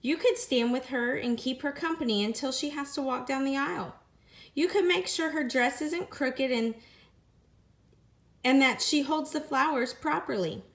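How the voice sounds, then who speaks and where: A woman voicing a kindly, patient male mouse schoolteacher in a storybook, gently explaining things. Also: high-pitched, moderate pace, pitched above the performer's own voice. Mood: encouraging.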